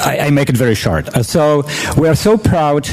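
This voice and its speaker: Polish-accented man giving a halting welcome speech. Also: a little high, lively intonation, a little mellow. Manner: emphatic, halting with repeats and fillers. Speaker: festival organizer introducing a speaker. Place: event hall.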